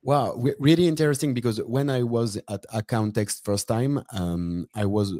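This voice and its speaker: A man's voice: mid-range voice, normal speed, dark tone, dry recording, studio quality. A man with a French accent interviewing in English as his second language, hesitant and searching for words. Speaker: interviewer, podcast host.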